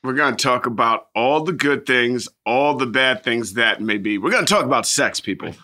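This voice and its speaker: A man's voice: medium pitch, lively intonation, normal speed, sharp tone. A man co-hosting a podcast on a video call, bantering playfully and repeating phrases with exuberance. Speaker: podcast co-host.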